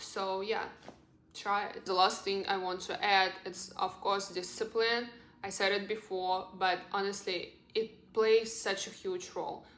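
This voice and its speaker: A woman's voice: medium pitch, fairly bright tone. A roomy, echoing recording of a young woman with a Russian accent. She is a native Russian speaker with a mid-range voice, talking casually to her viewers like a lifestyle vlogger, in an earnest and encouraging way. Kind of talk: casual advice vlog to camera.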